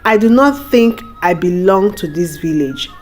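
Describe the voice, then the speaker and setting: A woman's voice: lowish voice, lively intonation, a little slow, a little mellow, soft background music. A woman voicing a wronged young village outcast, anguished yet dignified, in a plaintive, solemn folktale delivery. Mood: wounded, firm.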